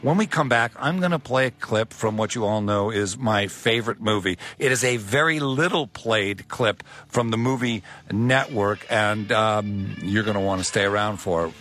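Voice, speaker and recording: A man's voice: on the low side, a bit bright. A man hosting a talk radio show from a studio, speaking earnestly and conversationally with some fillers.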